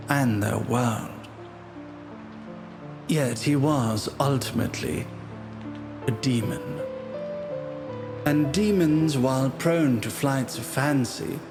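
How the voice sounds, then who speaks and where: Slow, ominous male narrator. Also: medium pitch. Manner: measured, ominous storytelling. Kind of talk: scripted storybook-like suspense narration. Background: music.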